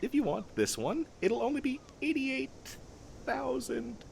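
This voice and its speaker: A man's voice: very high-pitched, sing-song, a little slow, gravelly, darkish tone, slight background noise, heavily acted. A man playing an eager, pushy wizard salesman in a comedic fantasy roleplay, chatty and stammering through his sales patter. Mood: enthusiastic.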